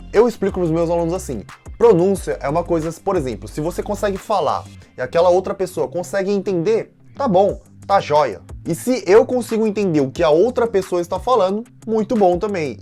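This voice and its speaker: A man's voice: high voice, a little mellow. A man with a Brazilian Portuguese accent, a language teacher giving a casual lesson and talking straight to his viewers in a chatty, direct way. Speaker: Korean teacher and channel owner.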